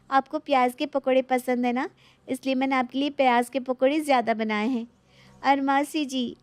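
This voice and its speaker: Woman acting a high-voiced, dutiful young daughter-in-law. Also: no echo. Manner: polite, deferential, earnest. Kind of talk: animated moral story dialogue.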